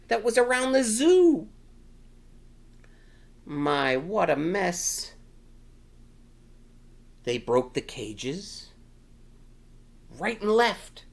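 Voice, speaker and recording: A man reads a children's story with very lively, swinging intonation. His pace is unhurried, and he gives the words playful, dramatic emphasis in an exclamatory storytime style. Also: high-pitched. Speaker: storytime reader. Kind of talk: animated children's picture-book reading.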